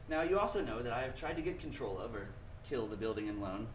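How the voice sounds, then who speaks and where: A man's voice: mid-range voice, animated, fast, lightly acted. A man acting a rich, scheming old tycoon in a 1940s-style radio play, smug, folksy and condescending. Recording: roomy, distant mic, noisy live stage.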